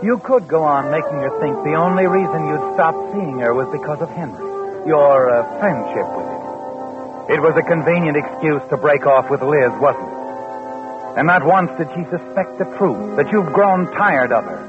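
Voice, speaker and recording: An actor playing a mysterious, all-knowing, sardonic narrator of a 1940s radio mystery, insinuating, on a tinny old recording. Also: male voice, on the high side, animated, normal speed, close to an everyday voice. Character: mysterious, omniscient, sardonic male night-walking narrator. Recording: tinny vintage radio broadcast with music.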